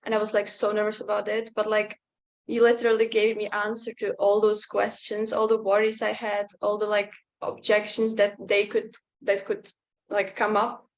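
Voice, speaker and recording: A young woman speaks in a level, even tone with little rise and fall. She talks hesitantly, leaning on filler words. The sound is that of a video call. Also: medium pitch, normal speed. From Czech Republic. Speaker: interview guest, client. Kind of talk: casual testimonial chat.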